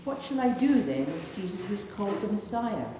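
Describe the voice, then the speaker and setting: A woman's voice: medium pitch, very animated, fairly quick, dark tone. Woman reading scripture parts in echoing church. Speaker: scripture reader of several character parts. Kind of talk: scripted dramatised Bible reading. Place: large reverberant church, read aloud. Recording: echoey church hall, distant, faint noise.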